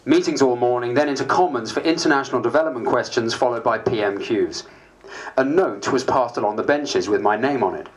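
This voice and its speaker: A man's voice: medium pitch, fairly quick, close to an everyday voice. A man playing a harried, self-mocking MP, narrating a dramatised diary in a dry, wry way. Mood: wry, weary. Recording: faint background noise.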